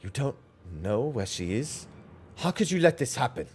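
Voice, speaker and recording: A man's voice: mid-range voice, big pitch swings, moderate pace, a little mellow, a little hiss or hum, voice pushed up, close to an everyday voice. A man reading out the lines of earnest, formal fantasy game adventurers in archaic phrasing. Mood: worried, urgent. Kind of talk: reading game dialogue aloud.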